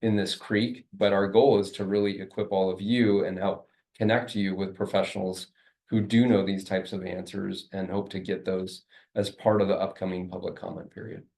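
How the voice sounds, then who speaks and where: Measured man, flat low voice. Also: gravelly. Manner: careful, measured. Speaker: presenter. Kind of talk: answering questions at a meeting. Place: community meeting room.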